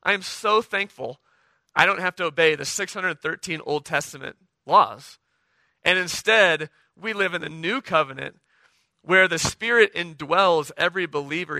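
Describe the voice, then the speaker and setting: A middle-aged man preaching a sermon, hoarse from the flu, talking conversationally and often checking in with the congregation. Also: fairly high pitch, pushed and bright, dry recording. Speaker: pastor.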